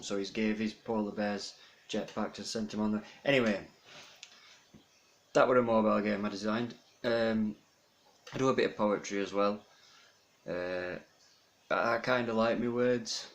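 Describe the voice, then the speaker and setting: A young man from South Yorkshire speaks in a lowish voice with his Yorkshire accent reined in. He rambles earnestly, stopping to think between phrases. The sound comes from a phone camera, with a faint layer of noise underneath. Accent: Yorkshire, toned down. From South Yorkshire, England. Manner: rambling, pausing often with ums, earnest. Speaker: vlogger introducing himself. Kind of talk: casual self-introduction monologue.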